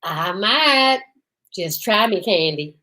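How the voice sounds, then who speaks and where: A woman's voice: mid-range voice, lively intonation, takes it slowly, pushed and bright. A woman playing an eccentric, tipsy male photographer with a pompous, flowery, theatrical manner in old-time radio style. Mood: theatrical, incredulous. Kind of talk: old-time radio comedy-detective acting.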